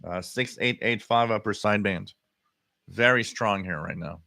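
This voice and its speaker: A man hosting a casual live hobby stream, answering chat comments in a halting way and often repeating his words. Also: lowish voice, creaky, pushed and bright, dry recording, clean studio sound. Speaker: livestream host.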